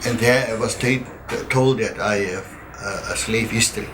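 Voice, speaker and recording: A man in his eighties with a South African accent, giving a slow, halting oral-history testimony with many repeated words and pauses. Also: fairly low pitch. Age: 80s. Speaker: interviewee sharing family history. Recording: recording with clear background noise.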